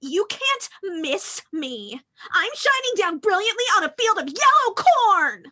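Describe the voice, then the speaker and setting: Lady doing a braggy petty crayon, super high n bright, insistent, storybook comedy. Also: sing-song, a little slow, heavily acted. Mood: boastful, giggly. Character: boastful, petty yellow crayon, certain it is right.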